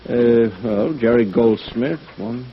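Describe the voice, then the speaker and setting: A man's voice: medium pitch, takes it slowly. An actor playing an anxious, hesitant male musicians' agent under suspicion, pleading in 1950s radio drama style. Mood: hesitant, subdued. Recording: muffled old radio broadcast recording.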